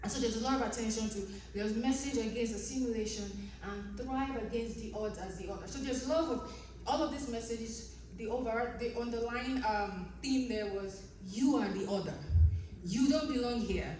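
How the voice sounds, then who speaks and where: A woman's voice: fairly high pitch, normal speed, darkish tone, a little hiss or hum. A woman with a Nigerian accent, originally a Yoruba speaker, giving an earnest academic conference presentation with frequent ums and restarts. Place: conference room. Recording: echoey room, distant mic.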